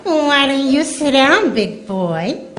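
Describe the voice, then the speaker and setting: Woman acting a slow, high-voiced radio flirt. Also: slight background noise, heavily acted. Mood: seductive, teasing. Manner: coy, drawn-out flattery. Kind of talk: old-time radio comedy acting.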